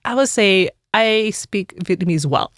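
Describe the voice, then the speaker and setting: A woman's voice: mid-range voice, expressive, unhurried, fairly bright tone, no room sound, studio quality. A woman in her forties from the United States, a religious sister telling her personal story in an interview, earnest and reflective.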